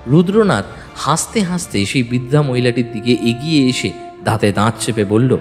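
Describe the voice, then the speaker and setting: A man's voice: mid-range voice, moderate pace. A man narrating a detective audio story in the first person, suspenseful and dramatic. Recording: long reverb, music bed. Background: music.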